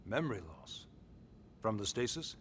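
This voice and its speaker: An actor playing a composed, authoritative middle-aged military commander in a video game cutscene, speaking in a measured, deliberate way with weighty pauses. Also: male voice, on the low side, big pitch swings, fairly bright tone, slight background noise. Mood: calm, businesslike. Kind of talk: video game cutscene acting, expository dialogue.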